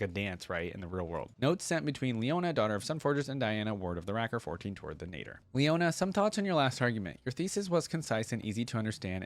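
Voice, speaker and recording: A man hosting a fan reaction video, talking informally and reading story text aloud. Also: on the low side. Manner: informal, conversational.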